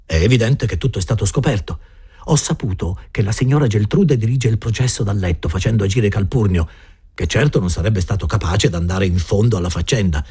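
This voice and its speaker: A man voicing a hurried schoolboy conspirator. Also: on the low side, mellow, breathy edge. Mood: urgent. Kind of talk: audiobook reading.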